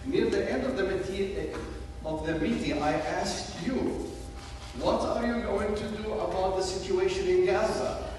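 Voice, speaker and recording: An older man with a Palestinian accent making a measured, deliberate public statement at a town hall, pausing between short phrases. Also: high voice. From Jerusalem. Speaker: audience member addressing a senator. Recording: very echoey hall, distant mic, noisy.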